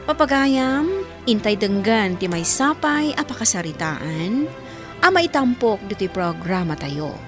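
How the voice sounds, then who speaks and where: A woman's voice: mid-range voice, very animated, a little slow, music in the background. A woman with an Ilocano accent reading a scripted introduction as announcer of a radio drama. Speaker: program announcer. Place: radio studio with music behind.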